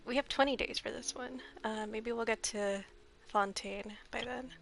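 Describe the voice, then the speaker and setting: A woman with a soft, quiet voice, chatting casually while she plays a game. She is a little sleepy, holds her volume back, and laughs lightly and often. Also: on the high side, fairly even intonation, moderate pace, creaky, slight background noise. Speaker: streamer. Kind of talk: casual gameplay commentary. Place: home room, shared house.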